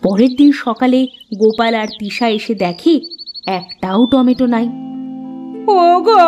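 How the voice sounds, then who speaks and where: A woman's voice: fairly high pitch, moderate pace, mellow, lo-fi sound, faint music behind. A woman narrator reading a scripted fairy tale for a cartoon.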